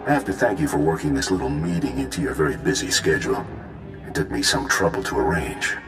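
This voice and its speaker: A man's voice: rumbling low, quick pace. A man playing a smug, menacing renegade commander in a video game, mocking and self-righteous. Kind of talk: video game cutscene acting. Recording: roomy echo. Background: music.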